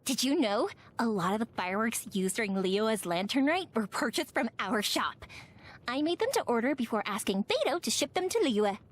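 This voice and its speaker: An actress voicing a cheerful, chatty young woman who makes fireworks in a video game, playful and expressive. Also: on the high side, normal speed. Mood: fond storytelling. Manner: bubbly, expressive, talkative. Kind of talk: animated video game character voice acting.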